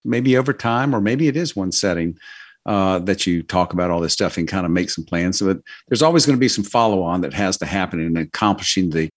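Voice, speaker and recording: A man's voice: low voice, lively intonation, brisk. An older man giving financial advice as a podcast guest, in a casual, earnest, folksy and loosely phrased way.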